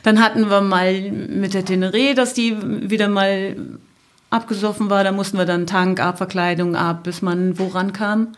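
A middle-aged woman with an average-pitched voice shares anecdotes on a podcast. She talks casually in run-on phrases, piling up details as lists. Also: steady tone, normal speed. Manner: run-on, listing delivery. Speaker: podcast guest, motorcycle traveller.

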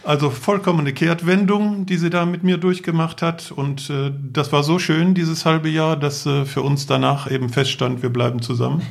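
A man's voice: a little high, fairly even intonation, normal speed, creaky, hardly any pauses. A man, telling casual anecdotes as a podcast guest. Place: living room.

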